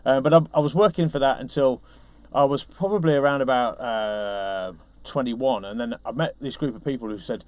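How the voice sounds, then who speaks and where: Chatty bloke dj telling old stories, bit self-deprecating, really dry recording. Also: male voice, medium pitch, moderate pace, few pauses, darkish tone, dry recording. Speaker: DJ being interviewed. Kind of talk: casual anecdotal storytelling.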